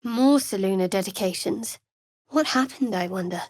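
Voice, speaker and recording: A guarded young woman cleric from a fantasy video game, played by an actress. Her mid-to-slightly-high voice is reserved and wry, with a sardonic edge. The sound is close and free of room echo. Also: moderate pace, close to an everyday voice. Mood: wary, curious. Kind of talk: video game character voice acting.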